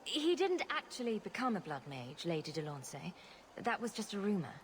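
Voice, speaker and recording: A woman's voice: mid-range voice, very animated, normal speed, slight background noise, close to an everyday voice. A woman playing a confident, sarcastic young rogue heroine in a fantasy game, dry and joking. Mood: wry.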